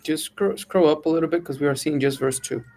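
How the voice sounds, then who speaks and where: A man's voice: a little high, brisk, darkish tone. A man speaking casually as a participant in an online video call. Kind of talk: casual conversational remarks.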